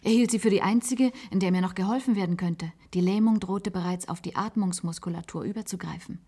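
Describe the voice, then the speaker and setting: An actress playing a wistful, resigned former secretary in a German science-fiction radio play, confiding in halting phrases. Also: female voice, mid-range voice, dark tone, husky. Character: wistful former secretary, resigned patient living in an isolated institute.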